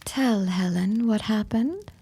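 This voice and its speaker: A sly, worldly woman of a period radio drama. She takes her time in a husky voice that swoops expressively. Her manner is theatrical and coaxing, always angling for gossip. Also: mid-range voice, animated, slow, dark tone, heavily acted, rough recording. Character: sly, worldly woman pumping neighbours for gossip over gin.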